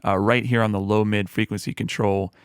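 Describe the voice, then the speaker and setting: A man with a low voice, talking through a music mixing tutorial in a relaxed, explanatory way with frequent fillers. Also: fairly quick, smooth, no room sound, clean studio sound. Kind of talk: screen-recorded mixing tutorial.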